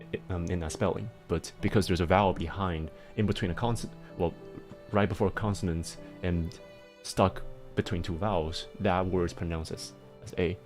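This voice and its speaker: A man livestreaming and chatting casually, explaining things in halting bursts with frequent restarts and laughter, speaking with a mixed accent. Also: deep voice, fairly even intonation, a little mellow, faint music behind. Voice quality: self-described mixed accent. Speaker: livestreamer answering chat.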